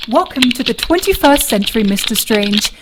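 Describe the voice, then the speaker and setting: High-voiced, brainy British woman played by an actress. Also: lively intonation, moderate pace, background noise, close to an everyday voice. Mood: smug, brisk. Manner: precise, dry, teasing. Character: crisp, brainy, teasing female tech-savvy partner. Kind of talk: audio drama acting.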